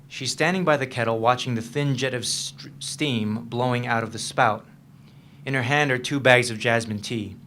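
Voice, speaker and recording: A man's voice: medium pitch, a bit bright, natural voice. Man acting troubled, guarded man, noisy live recording. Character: troubled, guarded man confiding in his girlfriend, haunted by past. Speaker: actor in a staged reading. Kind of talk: dramatic stage reading of a novel.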